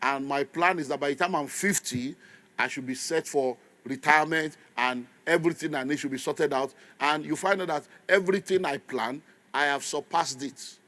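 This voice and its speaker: Animated Nigerian preacher man, higher voice. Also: moderate pace. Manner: animated preaching, slips into Pidgin asides, laughs often. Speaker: pastor. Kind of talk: sermon.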